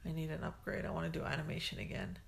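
Low, gravelly-voiced woman podcast host. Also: very fast. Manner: chatty, laughs often, trails off mid-sentence. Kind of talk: casual chat.

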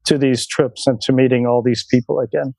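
A man's voice: medium pitch, little pitch movement, fairly quick, vocal fry, dark tone, no room sound. Swiss guy in his 60s, lots of ums and restarts, laughs easily, dry close sound. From Switzerland. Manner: hesitant, many ums and restarts. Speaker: guest. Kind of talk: podcast interview.

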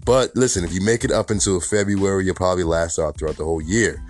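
A man with a New York accent hosting a casual solo podcast, talking in a chatty way full of "you know" and "honestly," his voice raspy and hoarse from a slight cold. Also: deep voice, steady tone, fast, a little mellow. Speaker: podcast host.

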